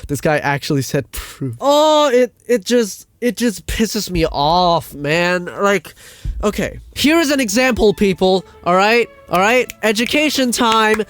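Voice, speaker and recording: Man, high voice, swooping intonation, casual video rant, exasperated, sweary, emphatic, repetitive. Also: big pitch swings, a little slow, fairly bright tone, no echo. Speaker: video host, music commentator. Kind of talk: casual ranting commentary.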